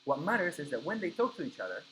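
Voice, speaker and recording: A man's voice: on the high side, very animated, fast talker, pushed and bright, a little hiss or hum, rough recording. A man whose first language is Spanish teaches a live coding class, explaining step by step and checking in with his students. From Argentina. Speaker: coding instructor.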